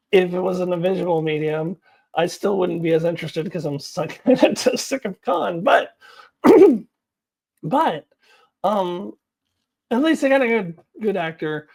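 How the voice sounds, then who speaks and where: A woman giving casual, opinionated commentary to viewers as a video host, in a blunt, informal and halting way. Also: on the low side, vocal fry, darkish tone.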